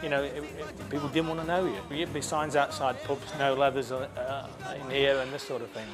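A man's voice: mid-range voice, little pitch movement, normal speed. A middle-aged man and motorcycle club member, telling his memories in an interview, chatty and laughing easily, with frequent restarts. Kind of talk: interview recollection. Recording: music playing underneath.

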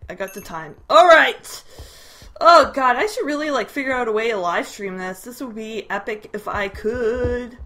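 A woman's voice: mid-range voice, background noise. A young woman with a Canadian accent giving casual gameplay commentary, given to sudden startled squeals and chatty asides to her viewers. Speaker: gaming video creator.

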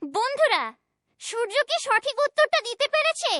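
A woman's voice: very high-pitched, big pitch swings, normal speed, pushed and bright, dry recording, clean studio sound, full character voice. A woman voicing a small childlike companion in a cartoon fairytale. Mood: inviting. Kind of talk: cartoon voice acting and narration.

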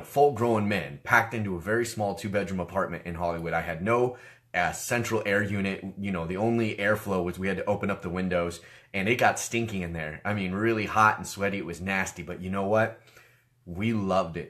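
Hoarse deep-voiced man preaching, sick. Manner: animated, gestures with his hands. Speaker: online ministry host. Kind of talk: personal testimony, casual preaching. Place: small room, seated in a leather chair.

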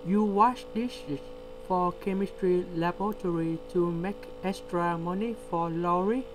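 Brief: male tutor, slow deliberate walkthrough, mains hum under the voice. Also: high-pitched. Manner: slow, step-by-step explaining with pauses. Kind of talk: screen-recorded math lesson. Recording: steady electrical hum behind.

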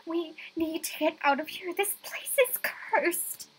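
A woman's voice: extremely high pitch, fairly even intonation, slow pace, fairly bright tone, a little hiss or hum, voice pushed up, full character voice, rough recording. A woman voicing a panicked young female estate agent in a visual novel, desperate and haunted. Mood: frightened urgency. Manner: stammering, desperate.

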